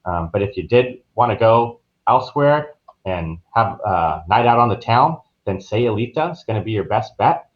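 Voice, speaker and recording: A man's voice: lowish voice, expressive, moderate pace. A man speaking as an interviewed travel advisor, explaining things in a casual, informal, loosely phrased way. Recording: dull, muffled lo-fi audio.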